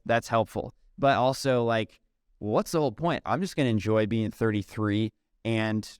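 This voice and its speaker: Laid-back man in his thirties, low voice. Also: clear, resonant tone, no echo, clean studio sound. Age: 30s. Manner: relaxed, chatty, frequent "um" and "dude". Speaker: podcast guest, software company CEO. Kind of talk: casual interview conversation.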